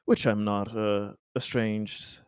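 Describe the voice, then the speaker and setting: A man's voice: on the low side, level tone, slow pace, smooth, no echo, studio quality. The sardonic, self-important chief holding court in a starship galley in a tabletop sci-fi game, acted out by a man, closely recorded. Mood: grand praise. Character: pompous, sarcastic male leader of a space expedition.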